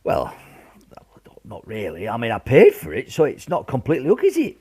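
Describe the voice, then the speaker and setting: A man playing a genial, cheeky older grandfather with a British accent and a husky voice in a wartime radio play. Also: medium pitch, huge pitch swings, moderate pace, dark tone. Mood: sheepish. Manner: jovial, hesitant, coaxing.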